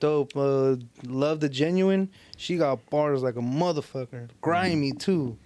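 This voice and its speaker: A man with a smooth, slightly high voice chats casually as a podcast co-host. His talk is slangy and full of swearing, and the sound is close and dry. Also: unhurried, darkish tone, no echo. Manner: slangy, profane. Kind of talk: casual chat.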